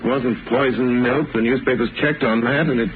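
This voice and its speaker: A man's voice: mid-range voice, fairly even intonation, background noise, close to an everyday voice. Man acting an intense 1930s radio detective, tinny recording. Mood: urgent, excited. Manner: urgent, deliberate, theatrical diction. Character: brilliant, intense amateur detective, adult man, quick and certain.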